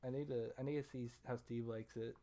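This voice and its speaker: Mid-pitched man in joking, casual banter. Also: steady tone, quick pace, warm tone. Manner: joking, sweary, informal. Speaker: podcast co-host. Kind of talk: casual banter about video games.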